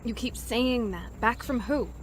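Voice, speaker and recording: A fairly high-pitched actress as a young female survivor in an audio drama. She is commanding and emotional, urgent and exasperated. There is faint noise in the background. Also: unhurried, close to an everyday voice. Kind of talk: audio drama acting, anime fan parody.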